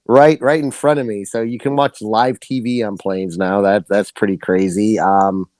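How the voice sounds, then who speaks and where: A man co-hosting a podcast, his voice hoarse from yelling, talking in an excitable, rambling, casual way. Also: on the low side, moderate pace, no room sound. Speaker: podcast co-host.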